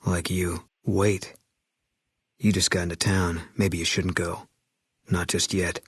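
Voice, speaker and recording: A middle-aged man playing a bitter, grieving ex-soldier sniper in a video game, speaking in terse, clipped, grim lines with a husky voice. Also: low-pitched, little pitch movement, slow, a bit bright, no room sound, clean studio sound, exaggerated voice.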